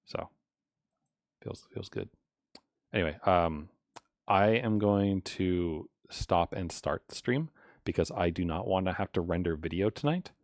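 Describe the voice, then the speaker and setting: A man's voice: sits low, expressive, measured pace, pauses often, no echo, studio quality. A man streaming live as he codes, talking casually and unscripted, rambling as he thinks aloud. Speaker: live streamer coding a game.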